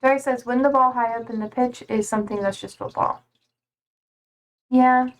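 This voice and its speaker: Young woman, a bit high-pitched, rambling casual chat, reads viewer comments aloud, lots of fillers. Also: fairly even intonation, moderate pace. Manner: chatty, slangy, peppered with "like". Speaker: streamer.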